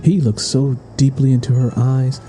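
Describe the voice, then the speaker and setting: A man's voice: mid-range voice, level tone, moderate pace, mellow. A man reading scripted, dramatic narration for an audio drama. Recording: music behind the voice. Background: music.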